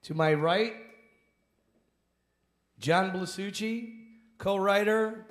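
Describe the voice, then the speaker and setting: Band leader guy on a talk show, kinda high voice, easygoing chat. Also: drawn-out words, fairly bright tone. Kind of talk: casual chat.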